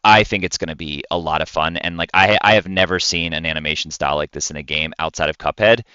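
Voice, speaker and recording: Male podcast co-host, very low pitch, crisp delivery, casual chat that circles back on itself. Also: fast talker, sharp tone, no echo, lo-fi sound.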